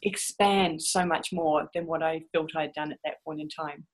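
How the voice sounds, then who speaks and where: A woman's voice: low-pitched, fairly even intonation, moderate pace, husky. Reflective middle-aged New woman. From New Zealand and Australia. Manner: thoughtful, candid, wry. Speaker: interview guest. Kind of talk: reflective storytelling.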